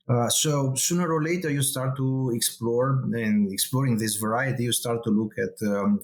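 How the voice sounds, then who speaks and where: Romanian-accented man, halting and measured. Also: mid-range voice. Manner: measured, halting, many ums and uhs. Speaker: guest scholar. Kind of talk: academic interview answer.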